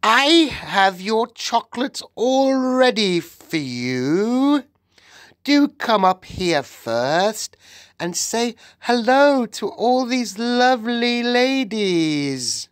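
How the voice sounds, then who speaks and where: A narrator voicing a gleeful, evil female witch in a storybook, with a theatrical, drawn-out, gloating delivery. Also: mid-range voice, big pitch swings, no echo, clean studio sound, pitched above the performer's own voice. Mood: syrupy, cooing.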